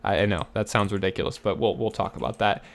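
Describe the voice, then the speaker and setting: Slightly raspy young man explaining earnestly. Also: fairly low pitch, fast talker. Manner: earnest, explanatory, with frequent fillers and restarts. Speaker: gaming YouTuber. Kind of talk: casual gameplay commentary.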